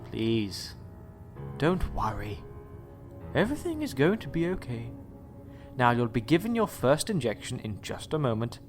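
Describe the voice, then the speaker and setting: Man acting as slow, sinister, polite doctor. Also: mid-range voice, wildly swooping pitch, music in the background. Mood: falsely soothing. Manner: unctuous, measured, formal menace. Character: sinister, coolly polite male doctor who experiments on people. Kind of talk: audio drama acting.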